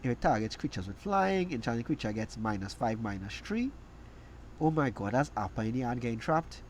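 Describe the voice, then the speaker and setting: A man with a cold, hoarse and raspy, casually reading out and reacting to trading card text. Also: medium pitch, expressive, slight background noise. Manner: reads card text aloud, reacts with quick asides. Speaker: card game reviewer. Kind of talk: casual card review commentary.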